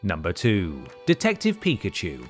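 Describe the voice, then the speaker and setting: A man's voice: deep voice, huge pitch swings, normal speed, faint music behind. A man hosting a countdown video, reading scripted narration with wry, sarcastic asides and emphatic delivery.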